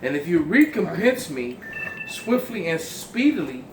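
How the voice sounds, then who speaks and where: Male scripture reader, measured delivery, distant and roomy. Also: a little high, sing-song. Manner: slow, measured reading. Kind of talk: reading aloud in a group discussion. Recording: distant mic in a noisy, echoey room.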